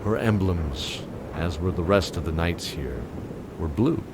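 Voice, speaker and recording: An actor playing a weary, guilt-ridden knight captain, narrating and speaking in a scripted fantasy audio drama. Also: male voice, sits low, animated, unhurried, noisy background. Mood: solemn, reflective. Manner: solemn, descriptive, dramatic delivery.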